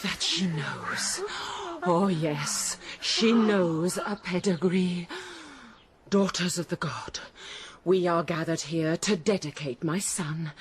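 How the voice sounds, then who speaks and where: A woman's voice: medium pitch, slightly rough. A woman playing an imperious, fervent ancient queen and priestess in an audio drama, theatrical and commanding. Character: imperious, fervent young queen, new mother and mystic priestess. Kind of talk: historical audio drama acting.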